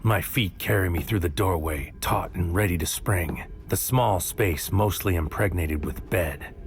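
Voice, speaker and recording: Low male lead: wary noir investigator, brooding measured narration, sci-fi audio drama, music bed. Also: gravelly. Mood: brooding, tense. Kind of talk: first-person scripted audio drama narration, present tense.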